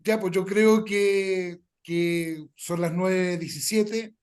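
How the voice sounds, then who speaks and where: A Chilean man with a notably high voice hosts an informal workshop. He repeats himself as he explains and often adds little tag questions to check that people follow. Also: steady tone, very slow, a little mellow, clear, resonant tone. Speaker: workshop host.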